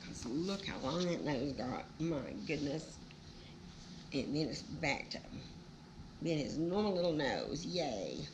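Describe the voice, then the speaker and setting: A woman's voice: sits low, sing-song, slow pace, background noise. A woman reading a children's storybook aloud, with folksy, exclaiming asides to the listener. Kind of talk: animated storybook read-aloud with chatty asides.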